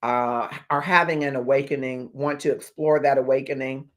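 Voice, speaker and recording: A man in his fifties hosting a motivational livestream as a life coach, speaking in deliberate, emphatic phrases with pauses. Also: mid-range voice, steady tone. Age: 50s. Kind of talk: motivational livestream talk to viewers.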